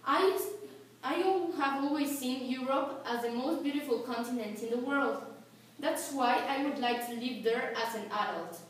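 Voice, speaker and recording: A woman's voice: sits high, moderate pace. A teenage girl from Mexico with a Mexican Spanish accent, a native Spanish speaker, reciting a school speech carefully, phrase by phrase. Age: teens. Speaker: student. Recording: echoey room, distant mic, faint hiss.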